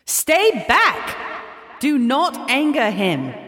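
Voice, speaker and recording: A man voicing a stern young female warrior who speaks slowly and in warnings. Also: very high-pitched, expressive, fairly bright tone, long reverb tail, voice pushed up, heavily acted. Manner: slow, warning tone.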